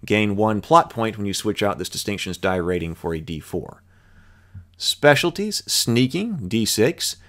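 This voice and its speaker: A man with a fairly low voice works through a tabletop game's rules. He reads passages aloud, then adds his own musing asides. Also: animated, measured pace, a bit bright. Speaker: channel host. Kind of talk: reading and explaining game rules.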